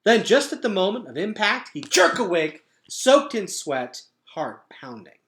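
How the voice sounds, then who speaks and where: A man reading a children's book aloud as a teacher would, with dramatic, expressive narration. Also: sits high, measured pace.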